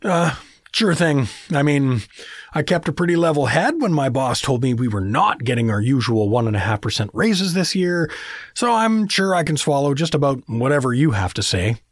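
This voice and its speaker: A man's voice: medium pitch, sing-song, normal speed, no echo, clean studio sound. A man playing a chatty, oblivious middle-aged American dad who makes affable, corny small talk in an audio fantasy comedy. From rural South Dakota.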